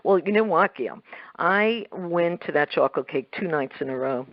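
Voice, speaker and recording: A woman's voice: lowish voice, expressive, moderate pace, no room sound, muffled recording. A middle-aged or older woman hosting a radio interview in a chatty, rambling, emphatic conversational way. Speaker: radio talk show host. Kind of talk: conversational interview with personal anecdotes.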